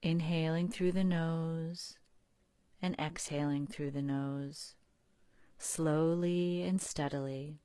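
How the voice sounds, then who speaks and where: Low, very slow woman guiding meditation. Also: clear, resonant tone, no room sound. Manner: calm, unhurried, soothing, with long pauses. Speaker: guided meditation leader. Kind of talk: guided relaxation narration.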